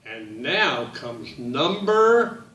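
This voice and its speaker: A man reading his picture book aloud to children, in a slow, sing-song storytime voice that speaks to them directly. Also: mid-range voice, sing-song, sharp tone, echoey room, far from the mic, lo-fi sound.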